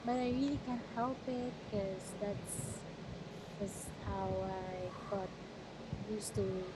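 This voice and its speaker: A woman from the Philippines speaks English with a Batangas Tagalog accent, her first language being Tagalog. She talks in a chatty, explaining way in a middle register. The voice call carries a clear layer of background noise. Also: medium pitch, slow. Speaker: girlfriend on a call. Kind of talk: casual chat.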